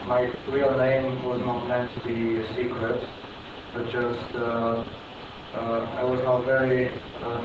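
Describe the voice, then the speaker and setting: A man's voice: mid-range voice, monotone, takes it slowly, noisy background. A man in his thirties whose first languages are Italian and Czech, giving a hesitant public talk full of fillers in a small lecture room. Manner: hesitant, many fillers, self-described shy. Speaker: presenter. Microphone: far camera. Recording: echoey lo-fi room recording from far off.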